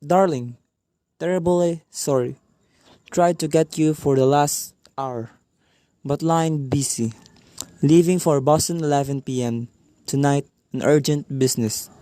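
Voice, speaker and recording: A man playing an impassive telephone and telegram operator in a radio play, formal, detached and measured. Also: on the high side, warm tone, no room sound, natural voice. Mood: detached, businesslike.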